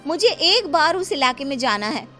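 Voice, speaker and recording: Woman playing a high-voiced, headstrong young girl. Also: very animated, moderate pace, bright, forceful tone, exaggerated voice. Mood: curious, pleading. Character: naive, curious, headstrong young hijra girl. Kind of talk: Hindi moral story cartoon acting. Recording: music playing behind the voice.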